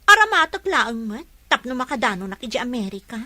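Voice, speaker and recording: A woman's voice: on the high side, sing-song, brisk, sharp tone, dry recording, exaggerated voice. A woman playing a headstrong, eager young woman in a radio drama.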